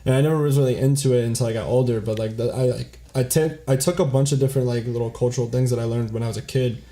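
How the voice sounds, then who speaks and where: A young man from South Florida, co-hosting a podcast in relaxed casual chat, full of fillers and casual swearing. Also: medium pitch, rapid-fire. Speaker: podcast co-host.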